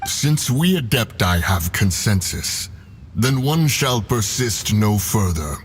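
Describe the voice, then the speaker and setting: A stern, ancient immortal beast from a video game. The voice is low and slow, proud and gravely formal, with faint music underneath. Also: male voice, big pitch swings. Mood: wary, grave.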